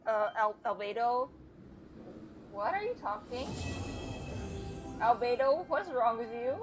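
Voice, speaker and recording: Woman streamer, highish voice, casual and slangy. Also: lively intonation, slow. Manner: informal, slangy, reacts aloud to the game. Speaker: game streamer. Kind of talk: casual live gameplay commentary. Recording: dull lo-fi sound, game music behind. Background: game music.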